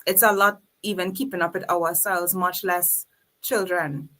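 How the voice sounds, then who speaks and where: A woman's voice: on the low side, fairly even intonation, measured pace, bright, forceful tone, a little hiss or hum. A woman from Trinidad with a Trinidadian accent, speaking as a guest in an earnest, reflective podcast conversation. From Trinidad and Tobago. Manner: earnest, reflective, faith-filled.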